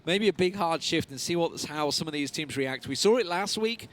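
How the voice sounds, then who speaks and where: A man's voice: a little high, lively intonation, moderate pace, a bit bright, a little hiss or hum. A man casting a live esports match on a headset, chatty and analytical, and he laughs easily. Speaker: esports caster.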